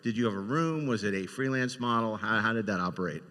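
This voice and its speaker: A man's voice: fairly low pitch, animated, quick pace, bright, forceful tone. A man hosting a panel, interviewing guests in a conversational way. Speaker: panel host, moderator. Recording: echoey hall with long reverb.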